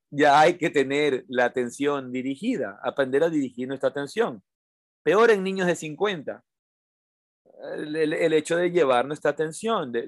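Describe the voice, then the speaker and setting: A man with a slightly high voice speaks as a meditation teacher. He is informal and didactic, repeating key phrases for emphasis, and the sound is fairly dry and close. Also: dry recording. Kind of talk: informal spoken teaching to an online audience.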